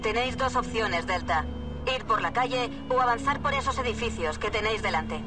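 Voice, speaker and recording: A woman's voice: on the high side, lively intonation, brisk, far from the mic, over music, natural voice. An actress playing a composed female military control lieutenant in a dubbed game, formal and precise, with a Castilian Spanish accent. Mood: focused. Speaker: video game character, radio dispatcher.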